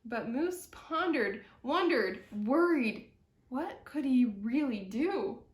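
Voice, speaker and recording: Lady reading a kids picture book out loud, slow n expressive, echoey room. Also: high-pitched. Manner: deliberate, dramatic phrasing. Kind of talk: expressive children's story narration. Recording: roomy echo.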